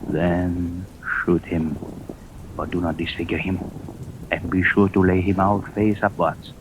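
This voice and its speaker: A man playing an imperious, cold-blooded German general in an old-time radio drama, commanding and deliberate. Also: low-pitched, flat delivery. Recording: noisy old radio recording.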